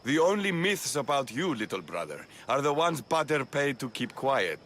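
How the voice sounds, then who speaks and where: An actor playing a boastful, teasing elder brother and monster hunter in a video game, grandiose, recorded with ambient noise. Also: male voice, medium pitch, very animated, moderate pace, a bit bright. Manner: grandiose, exuberant. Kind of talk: video game cutscene acting.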